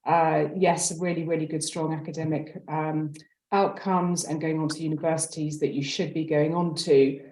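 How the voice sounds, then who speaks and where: A woman with a deep, mellow voice speaks as a school head on a webinar panel. She is measured and considered, and her audio rings with noticeable room echo. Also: fairly even intonation. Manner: measured, considered, hedging phrasing. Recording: roomy, echoing webinar audio.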